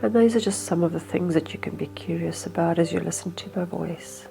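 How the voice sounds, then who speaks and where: A woman leading a slow, hypnotic guided meditation in a soothing, hushed manner with unhurried phrasing. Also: lowish voice, creaky, faint music behind.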